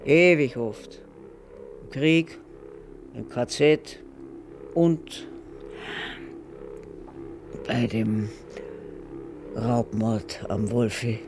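An actor playing an old dying man haunted by his dead family, brooding and halting, in a poetic radio drama. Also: mid-range voice, steady tone, noisy background.

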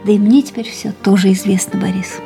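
An actress playing a gravely ill young woman who speaks quietly, tiredly and resolutely, often in a whisper, in a radio play. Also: medium pitch, fairly even intonation, a little fast, over music, close to an everyday voice. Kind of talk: studio radio drama acting.

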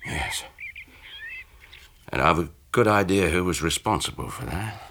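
A man's voice: deep voice, animated, a little slow, bright, forceful tone, husky, full character voice. An actor playing a defensive, resentful middle-aged British businessman in a radio drama, with a hesitant, bitter manner.

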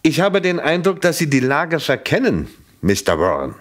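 An actor playing a shrewd police inspector in a German radio play, probing with polite, dry irony and skepticism. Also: male voice, a little high, huge pitch swings. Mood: skeptical probing. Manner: ironic, polite, measured, probing.

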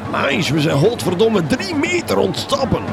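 Male actor as coarse Flemish villager, gravelly, wildly swooping voice, comic radio play over music. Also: high-pitched, sing-song, moderate pace, very noisy, heavily acted. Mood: impatient, keen. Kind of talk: gothic horror comedy radio drama. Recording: loud music under the voice.

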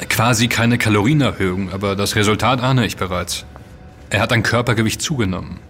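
A man's voice: fairly low pitch, a little fast, fairly bright tone, a little hiss or hum. A man playing a probing investigator in an audio drama, speaking in a formal, composed and analytical manner. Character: measured, probing male investigator, formally polite. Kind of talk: German audio drama dialogue.

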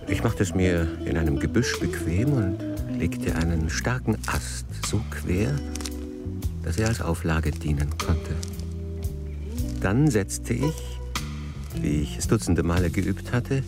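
An actor playing an obsessive, tightly controlled middle-aged man in a radio drama, speaking in measured, literary phrases. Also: deep voice, lively intonation, loud music behind the voice, exaggerated voice. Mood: grim, controlled. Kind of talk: radio drama acting with first-person narration.

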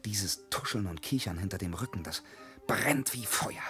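An actor playing a dreamy, boastful, restless peasant lad in a radio play, with rough voice and declamatory delivery that swings from brooding murmur to exuberant cries. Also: male voice, lowish voice, expressive, normal speed, bright, forceful tone, soft background music. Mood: dreamy, grandiose. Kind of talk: theatrical radio drama acting in verse.

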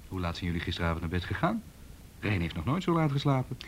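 A man's voice: deep voice, big pitch swings, fast, slight background noise. An actor in a radio drama playing a cautious, protective man, subdued and concerned. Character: cautious, protective male comrade in hiding. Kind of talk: naturalistic radio drama acting.

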